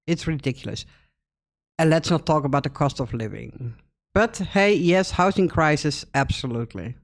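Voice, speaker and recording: A Dutch woman in her fifties with a Dutch accent, hosting a casual reaction video and chatting in English, often breaking in to agree. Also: lowish voice. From Netherlands. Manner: chatty, thinks aloud, with frequent agreeing interjections.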